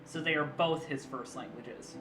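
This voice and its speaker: A man's voice: mid-range voice, moderate pace. A man hosting a livestream in casual chat, who laughs often, teases his guest and explains things. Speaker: streamer, host. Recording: echoey room. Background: guitar music.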